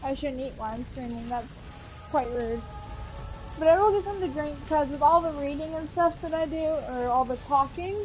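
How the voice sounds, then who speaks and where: A woman's voice: high voice, brisk, a little mellow, slight background noise. A woman streaming a video game from her kitchen on a headset mic, chatting casually and echoing the characters' lines. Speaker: gaming streamer. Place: home kitchen. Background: game music.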